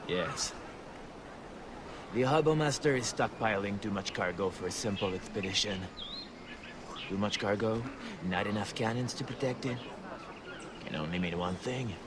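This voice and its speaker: A man acts out a self-serving, bargaining trader in a video game. His voice is on the low side, wry and insinuating. Noisy outdoor ambience sits behind it. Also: a little slow, long pauses, close to an everyday voice. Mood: knowing. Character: sly, self-serving male fishing trader striking bargains. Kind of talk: dramatic game cutscene acting.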